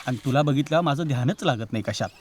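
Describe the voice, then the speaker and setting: A man's voice: mid-range voice, very fast, a little hiss or hum, lightly acted. An actor playing a shy lovesick village boy, speaking earnestly and pleadingly. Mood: earnest longing. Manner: earnest, pleading, rustic speech.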